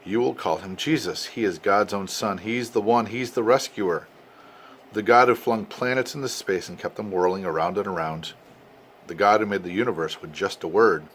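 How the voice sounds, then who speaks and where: An older man voicing a gentle, glad angel messenger from a storybook, reassuring and proclaiming. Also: low-pitched, lightly acted. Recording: noisy amateur home recording.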